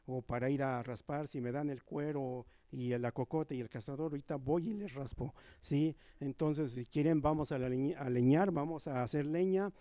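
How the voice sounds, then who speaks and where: A man's voice: medium pitch, moderate pace, hardly any pauses, mellow, dry recording. A middle-aged man from Milpa Alta, a native Nahuatl speaker with a Mexican Spanish accent, giving an earnest public talk that often checks in with the audience. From Milpa Alta, Mexico City. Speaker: invited community speaker.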